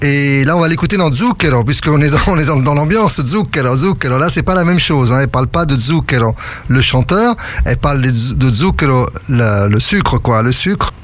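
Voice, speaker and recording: A man with a middle-pitched voice co-hosts a relaxed radio talk show. He speaks effusively, doubling words and phrases for emphasis as he chats. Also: fairly quick. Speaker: radio co-host. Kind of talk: casual radio chat.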